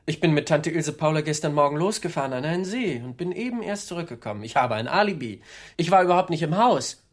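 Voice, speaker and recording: A rambling suspect guy in a radio drama, husky voice, insistent and muddled, very sure of himself. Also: on the high side, animated, fast. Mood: defensive. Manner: insistent, muddled, self-assured. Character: rambling, agitated suspect boasting of an imaginary other. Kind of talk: radio play acting.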